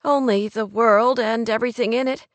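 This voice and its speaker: A woman playing a gentle, confused young woman in an audiobook romance, speaking in a soft, hesitant, pleading way. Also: a little high, steady tone, moderate pace, no room sound, close to an everyday voice. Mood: soft, earnest. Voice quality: soft, murmuring.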